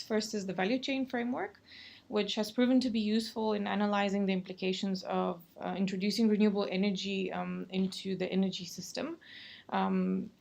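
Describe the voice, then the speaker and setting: A young woman from Bulgaria, a graduate student, giving a recorded research presentation in a formal, measured way with frequent fillers. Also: medium pitch, creaky, a little mellow.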